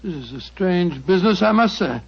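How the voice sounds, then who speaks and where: An actor playing a loyal, puzzled gentleman doctor with a British accent and a fussy, hesitant manner in a 1940s radio drama. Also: high voice, lively intonation, normal speed, slightly rough, full character voice. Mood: flustered, fussy. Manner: bumbling, flustered, hesitant. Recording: dull, muffled vintage radio recording.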